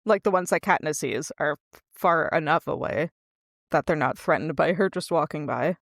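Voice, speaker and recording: A woman with a lowish voice talks casually as a podcast co-host. She thinks aloud with a wry, sweary edge, and the sound is dry and close. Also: normal speed, vocal fry, dry recording. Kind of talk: casual book discussion chat.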